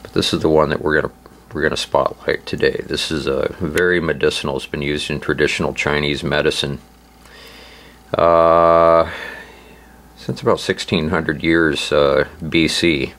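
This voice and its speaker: A man with a hoarse, laryngitis-affected voice giving an informal instructional narration, halting and pausing often as he explains. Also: extremely low pitch, fairly even intonation, fairly bright tone. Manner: halting, hesitant delivery with frequent pauses. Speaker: host of a survival channel.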